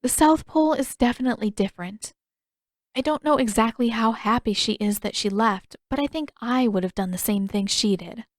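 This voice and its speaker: A woman's voice: a little high, moderate pace, no echo, clean studio sound, lightly acted. A woman voicing a headstrong, curious teenage girl in an audiobook, earnest, candid and full of pressing questions. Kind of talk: audiobook character dialogue.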